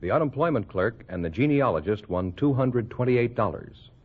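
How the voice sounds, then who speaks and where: A man's voice: medium pitch, animated, moderate pace, husky. A man with an American accent announcing on a 1950s radio quiz show. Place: stage before a studio audience. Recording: muffled, very noisy 1950s radio recording, loud audience.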